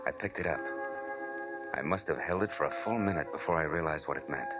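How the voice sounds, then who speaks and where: An actor playing a wry, weary private detective in a 1940s radio noir drama, with dry, deliberate, sardonic delivery. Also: male voice, a bass voice, muffled recording. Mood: reflective, tense. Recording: band-limited 1940s radio recording with music.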